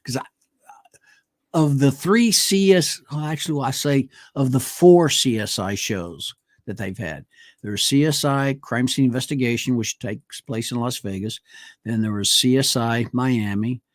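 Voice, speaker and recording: A man's voice: medium pitch, measured pace, dry recording, studio quality. A man co-hosting a casual talk show, speaking hesitantly with many fillers as he reaches for names. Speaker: co-host. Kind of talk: casual chat. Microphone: studio mic on a live stream.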